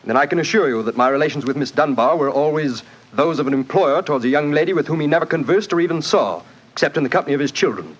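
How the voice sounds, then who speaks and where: Hot tempered magnate, blunt, talks real fast, bit high, 1940s radio play. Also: male voice, lively intonation, dull audio. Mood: stiff, guarded. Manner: forceful, blunt, threatening. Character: domineering, hot-tempered, arrogant male mining magnate. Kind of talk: 1940s radio drama acting. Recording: 1940s radio broadcast recording.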